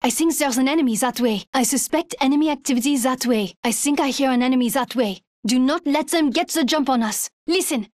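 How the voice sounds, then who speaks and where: A woman voices a cautious soldier. The voice is high and fast, captured dry with no room around it. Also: a little mellow, no room sound, natural voice. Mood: alert, cautious. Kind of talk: video game voice lines.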